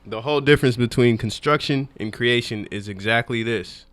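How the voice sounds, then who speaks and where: A man co-hosting a podcast, reading out a quote of the day in a slow, measured way. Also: medium pitch, a crowd in the background. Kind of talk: casual chat, reading quote.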